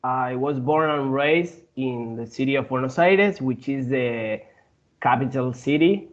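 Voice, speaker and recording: A man from Argentina with an Argentine Spanish accent, a guest in a casual interview who speaks haltingly, with many fillers and restarts. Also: mid-range voice, lo-fi sound.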